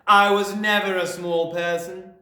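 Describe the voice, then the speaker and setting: A man's voice: high voice, lively intonation, moderate pace, voice pushed up. A man voicing a huge, bullying headmistress who barks and sneers at children. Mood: haughty. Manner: barking, sneering, loud. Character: bullying giant woman headmistress, sarcastic and thundering. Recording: roomy echo.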